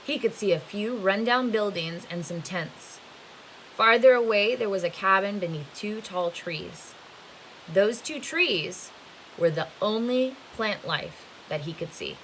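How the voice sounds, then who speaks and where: Female read-aloud narrator, teacher-like: slightly low pitch, forceful bright tone, expressive storytelling, light hiss. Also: rough recording. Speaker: teacher reading aloud to students. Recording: faint hiss behind the voice. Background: faint steady hiss.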